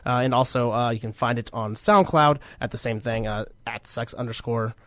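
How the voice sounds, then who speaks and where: A man in his twenties hosting a college radio show, his voice deepened by illness, rambling with many fillers and joking at his own expense. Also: normal speed, no room sound. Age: 20s. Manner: rambling, many fillers and restarts, self-mocking jokes. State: sick, voice deeper than usual. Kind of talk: casual radio chat.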